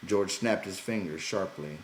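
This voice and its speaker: Male audiobook narrator, deep register, literary prose reading, roomy sound with faint noise. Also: a little slow. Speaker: audiobook reader.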